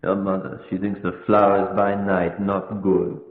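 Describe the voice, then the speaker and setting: Older vampire hunter, low voice, solemn, deliberate, echoey tinny radio horror. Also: male voice, little pitch movement. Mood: grave, patient. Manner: deliberate, solemn, foreign syntax. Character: grave older foreign professor, insistent, fatherly vampire hunter. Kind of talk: old-time radio horror acting. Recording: tinny vintage radio with long echoing reverb.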